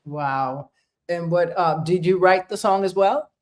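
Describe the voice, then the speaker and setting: Laughing, animated woman talk-show host. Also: lowish voice, a little slow. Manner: animated, laughs often, warm encouragement. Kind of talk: casual interview chat.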